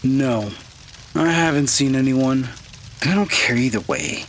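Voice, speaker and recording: An actor playing a cocky young skier in an audio drama, offhand and easily irritated. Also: male voice, medium pitch, animated, measured pace, slight background noise, natural voice.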